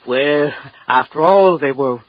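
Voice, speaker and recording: Hesitant, well-mannered doctor, defensive, somewhat high-pitched, vintage radio drama with dry, dull sound. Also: male voice, takes it slowly, no echo. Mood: placating, defensive. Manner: polite, hesitant, mildly protesting. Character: courteous, hesitant male country doctor, placating and defensive. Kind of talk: old-time radio drama acting. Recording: dull, lo-fi old radio recording.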